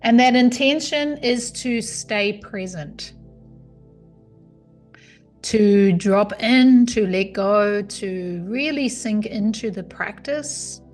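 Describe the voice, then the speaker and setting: Calm lady doing guided meditation, soothing n unhurried, medium pitch, bit of music behind her. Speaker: yoga nidra meditation guide.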